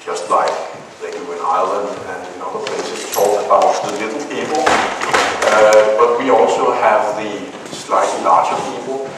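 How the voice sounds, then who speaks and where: A man's voice: fairly low pitch, steady tone, unhurried. A man with a Danish accent giving a lecture to an audience, hesitant with many filler sounds and dry humor. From Denmark. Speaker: zoologist giving a talk. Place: large hall. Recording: echoey hall, distant mic, noisy.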